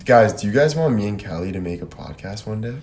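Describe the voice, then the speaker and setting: A man's voice: fairly low pitch, expressive, fast, a little mellow. A laid-back young man in casual, slangy livestream chat, speaking into a phone indoors. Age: young adult. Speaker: livestream host. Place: indoor room by a window. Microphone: phone at arm's length. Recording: phone livestream with background noise.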